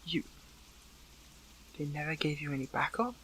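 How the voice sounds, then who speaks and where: A man's voice: on the high side, expressive, sharp tone, a little hiss or hum, rough recording. Man acting tense, earnest captive hero. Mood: shocked, hurt. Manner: halting, pleading, breaks and repeats phrases. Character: captive male superhero, earnest, hurt, questioning an old friend. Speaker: voice actor in an audio roleplay. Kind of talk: dramatic audio roleplay acting, one side of a dialogue.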